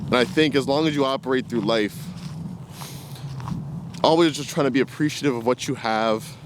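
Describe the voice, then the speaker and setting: Male vlogger, twenties, mid-pitched, unscripted and reflective, rambling delivery, recorded outdoors with faint ambient noise. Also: moderate pace. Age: 20s. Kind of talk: casual unscripted talk to camera. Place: outdoors, walking in woods. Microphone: camera carried while walking.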